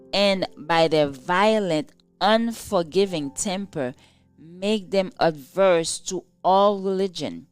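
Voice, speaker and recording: A woman reading a scripted religious devotional in a measured, solemn and formal manner. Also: on the low side, clean tone. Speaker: devotional reader. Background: soft instrumental music.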